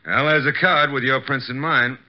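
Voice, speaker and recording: An actor playing a breezy, tough detective's assistant with a wry, slangy, flippant manner in 1950s radio drama style. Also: male voice, medium pitch, fairly even intonation, normal speed, natural voice. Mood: brisk. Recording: dull, faintly noisy old radio recording.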